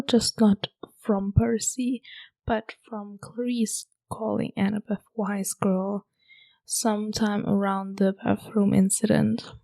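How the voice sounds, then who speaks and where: A young woman, hosting a podcast and chatting casually about a book in a hushed half-whisper, late at night at home. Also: mid-range voice, vocal fry. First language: German. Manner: hushed, half-whispered talk to avoid waking roommates. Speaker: podcast host.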